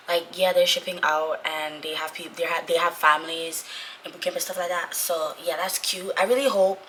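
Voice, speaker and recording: Raspy young woman vlogging, bright and forceful. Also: on the low side, slight background noise, poor audio. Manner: informal, repetitive for emphasis. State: sick, voice going away. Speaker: vlogger, Navy corpsman trainee. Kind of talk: casual chatty vlog talk to viewers.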